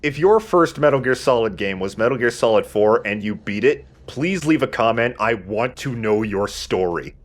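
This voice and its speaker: A man's voice: medium pitch, animated, normal speed, hardly any pauses. A man giving casual, joking, slangy commentary as a let's play co-host. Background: video game ambience.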